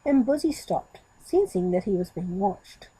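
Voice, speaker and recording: Unhurried woman reading a children's story. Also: mid-range voice, big pitch swings, dark tone, slightly rough, low-quality recording. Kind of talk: expressive children's story narration.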